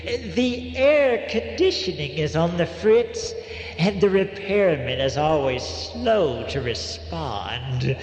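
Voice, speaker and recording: An echoing, cavernous sound surrounds a creepy old morgue attendant. He is obliging and theatrical, lingering slowly over halting phrases with big swoops in pitch, like a radio horror host. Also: sing-song. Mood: sly, welcoming. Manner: theatrical, halting, with eerie little interjections. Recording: echoey long reverb, faint noise.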